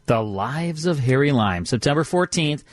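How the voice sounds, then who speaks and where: A man's voice: medium pitch, animated, moderate pace, no echo, clean studio sound, soft background music. An American man hosting a radio show, chatting casually and reacting readily to his co-host. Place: radio studio.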